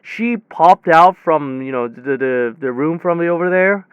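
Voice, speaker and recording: A man playing a rattled technician in an audio drama, telling his story conversationally in halting, repetitive bursts full of false starts and self-corrections. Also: a little high, lively intonation, fairly bright tone, clear, resonant tone, no room sound, lo-fi sound. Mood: musing, hesitant. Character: rattled, rambling young technician retelling a bizarre demon encounter. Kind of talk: hobby audio drama monologue, conversational retelling.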